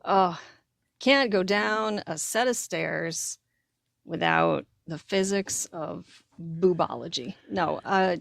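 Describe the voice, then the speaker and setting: A woman's voice: on the low side, slow, creaky, dry recording, studio quality. A woman co-hosting a podcast, chatting casually about writing with a wry manner. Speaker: podcast co-host and writer. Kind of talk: casual conversational discussion.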